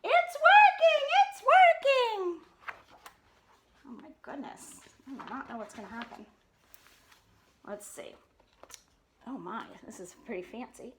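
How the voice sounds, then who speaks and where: A woman's voice: fairly high pitch, moderate pace, long pauses, noisy background. A woman hosting storytime, reading a children's storybook aloud expressively and adding casual asides. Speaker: storytime host.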